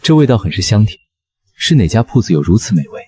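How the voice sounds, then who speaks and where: A man's voice: fairly low pitch, big pitch swings, fast talker, warm tone. A man playing a haughty court chancellor who is secretly a rabbit spirit, dryly teasing, in a fantasy costume drama. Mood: courteous, wry.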